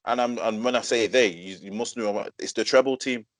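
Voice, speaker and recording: Deliberate man in a dry room. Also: on the low side, dry recording. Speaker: podcast co-host. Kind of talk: casual football debate.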